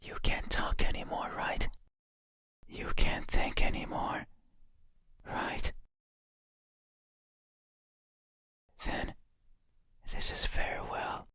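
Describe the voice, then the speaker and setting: An actor voicing a creepy, intimate bedside monster in a horror game, pleading and menacing in a breathy, insistent whisper. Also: bright, forceful tone. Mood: mournful, eerie. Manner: slow, insistent, eerily deliberate.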